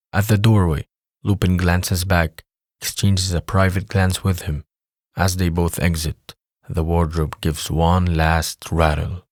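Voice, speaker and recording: A man's voice: deep voice, a little mellow, no room sound, clean studio sound. A man reading scripted scene narration for an audio drama.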